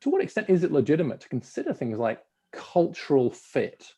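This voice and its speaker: A man interviewing a guest, asking long questions with pauses and repeated words. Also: fairly high pitch, huge pitch swings, warm tone, breathy edge, dull audio. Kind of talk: conversational interview.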